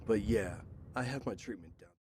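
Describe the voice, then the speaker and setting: A man's voice: lowish voice, moderate pace, darkish tone, a little hiss or hum, lightly acted. An actor playing a jaded, sarcastic, suspicious man in an audio drama. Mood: sarcastic, bitter.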